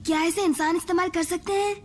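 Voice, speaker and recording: Teen girl: eager, question-asking, very high voice. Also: steady tone, fast talker. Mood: curious. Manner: eager, asks many questions. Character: curious excited teenage girl. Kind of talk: Hindi cartoon dub.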